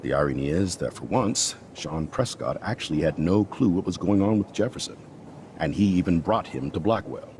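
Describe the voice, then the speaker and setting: Casting: jovial middle-aged school principal for a narrative game. Bass register, gravel, lively intonation, mildly self-important. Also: male voice, moderate pace, a little hiss or hum. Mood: confiding, genial. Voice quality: very deep, gravelly. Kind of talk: video game dialogue.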